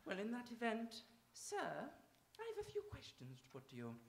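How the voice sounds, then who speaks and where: A woman's voice: a little high, huge pitch swings, normal speed, vocal fry, heavily acted. A woman acting in live radio theatre as a pompous, snobbish, older Victorian society mother. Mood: haughty interrogation. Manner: pompous, haughty, emphatic. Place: auditorium stage. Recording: echoey, noisy live stage recording.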